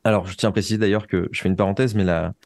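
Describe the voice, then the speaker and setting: A man's voice: low-pitched, steady tone, rapid-fire, darkish tone, no echo, clean studio sound. A man speaking as an interview guest, a writer reflecting earnestly and often searching for his words.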